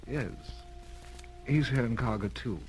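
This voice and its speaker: An actor playing a weak, confused sick man in an old radio drama, speaking faintly and haltingly. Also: mid-range voice, breathy edge. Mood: dazed. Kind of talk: 1930s-40s radio drama acting. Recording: old radio transcription with music.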